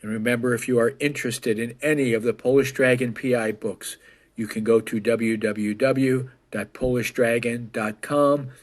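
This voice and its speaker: A man's voice: medium pitch, fairly even intonation, moderate pace. Male podcast host reading an outro. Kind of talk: scripted show outro.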